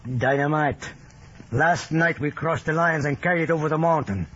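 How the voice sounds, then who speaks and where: Old guerrilla fighter, blunt and stoic, husky higher voice, dull old radio sound. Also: male voice, normal speed, a bit bright. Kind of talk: vintage radio drama acting. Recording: muffled old radio drama recording.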